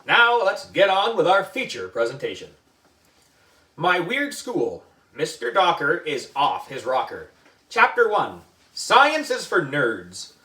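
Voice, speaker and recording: Animated man reading aloud in an echoey room. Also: a little high, slow. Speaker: book reader for a children's book club. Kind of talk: animated children's story reading. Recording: echoey room, distant mic.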